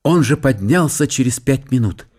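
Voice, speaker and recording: Expressive male radio narrator, clear and lively. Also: mid-range voice, moderate pace. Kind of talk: dramatized literary narration for radio. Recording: studio radio play with faint music.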